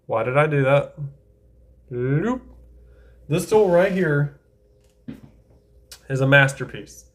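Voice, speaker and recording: A man's voice: fairly high pitch, animated, slow, pauses often. A man giving casual, enthusiastic tier-list commentary, talking things through aloud and correcting himself as he goes. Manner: chatty, enthusiastic, thinks aloud with self-corrections. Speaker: anime tier-list creator.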